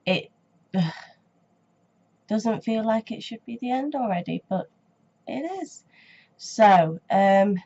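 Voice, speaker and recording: A woman's voice: on the low side, mellow. A young woman with a cold and a blocked nose, chatting hesitantly on her reaction vlog with lots of ums and restarts. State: stuffy nose and sore throat from a cold. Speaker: reaction channel host. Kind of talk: casual reaction vlog chat. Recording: dull lo-fi home recording.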